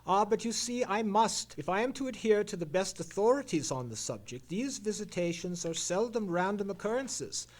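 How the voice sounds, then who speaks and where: A Victorian gentleman sleuth in a radio play. His voice sits fairly high, and he speaks in a formal, precise, musing way with theatrical confidence. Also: lively intonation, normal speed, hardly any pauses. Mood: keen, wry. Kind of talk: old-time radio mystery play, performed live.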